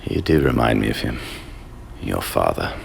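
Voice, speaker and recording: A middle-aged man acting a remorseful, cautious scientist in a video game, grave and measured, with a gravelly voice. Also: big pitch swings, in a large hall, slight background noise, full character voice. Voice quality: deep, gravelly.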